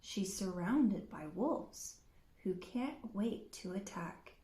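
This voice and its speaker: A woman's voice: medium pitch, animated, slow pace, warm tone, reverberant. A woman hosting storytime, reading a rhyming children's story aloud. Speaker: storytime host.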